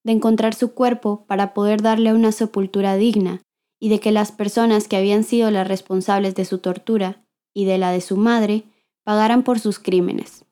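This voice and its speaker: Woman narrator, warm mellow tone, solemn scripted documentary read. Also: mid-range voice, little pitch movement, moderate pace.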